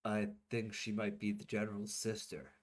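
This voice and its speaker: A man's voice: on the low side, moderate pace, natural voice. A man doing an earnest military colonel. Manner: plain, steady, close to the reader's own voice.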